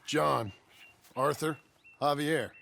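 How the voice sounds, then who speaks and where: Creaky-voiced wry outlaw leader. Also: male voice, a little high, takes it slowly, a bit bright, slight background noise, full character voice. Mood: wry, dealing. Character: wry, smooth-talking male outlaw gang leader in a Western.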